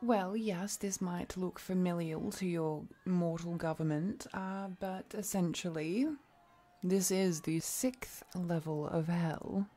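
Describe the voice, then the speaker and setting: A woman's voice: lowish voice, fairly even intonation, slow pace, warm tone. A woman playing an affectionate, playful demon guide through hell in a fantasy audio roleplay, chatty, coaxing and protective toward the listener. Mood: relaxed, wry. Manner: coaxing, chatty, protective, with pet names and small hesitations.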